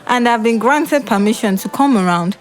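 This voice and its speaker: A woman's voice: fairly high pitch, animated, moderate pace, lightly acted. An actress playing a young woman chatting to a close friend in an audio drama. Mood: pleased. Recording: faint music behind the voice.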